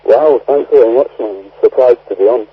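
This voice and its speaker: A man's voice: mid-range voice, a little fast, slightly rough, no room sound. A man from England calling into a radio show, hesitant and tentative. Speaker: caller. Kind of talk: call-in phone conversation. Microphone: telephone. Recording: distant, muffled phone line.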